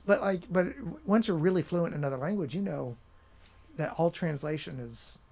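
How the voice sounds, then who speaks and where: A man talking about his work as an author and editor in a casual interview, animated, with many restarts. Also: fairly high pitch, normal speed, gravelly. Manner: animated storyteller, many restarts and "you know".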